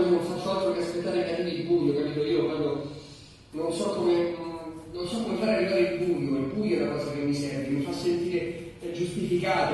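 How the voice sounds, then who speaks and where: A man playing an anxious, childlike unemployed grown son in a theatrical monologue, halting and repeating himself as he pleads. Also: fairly high pitch, warm tone, close to an everyday voice. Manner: halting, repetitive, fretful. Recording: echoey reverberant hall from far off, faint noise.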